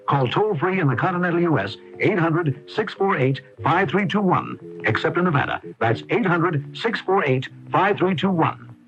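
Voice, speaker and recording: A man's voice: on the high side, a bit bright. An American man reading a scripted commercial as an announcer on early 1980s radio. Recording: dull lo-fi 1980s radio broadcast.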